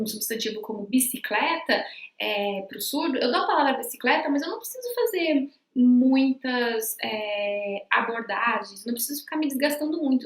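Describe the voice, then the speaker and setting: A woman's voice: high voice, a bit bright, reverberant. A Brazilian woman professor giving a remote lecture, explaining step by step with frequent fillers and restarts. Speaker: university professor.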